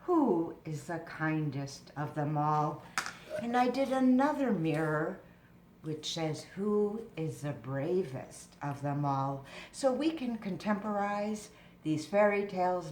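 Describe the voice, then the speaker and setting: A woman with a slightly low voice gives an informal, explanatory craft demonstration. She takes her time, speaking in a measured way as a presenter to parents. The recording is roomy and echoey, with clear background noise.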